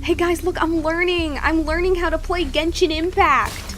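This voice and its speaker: A woman's voice: very high-pitched, fairly even intonation, normal speed, fairly bright tone, faint music behind. A woman streamer giving casual gameplay commentary, thinking aloud in repeated questions. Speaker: game streamer.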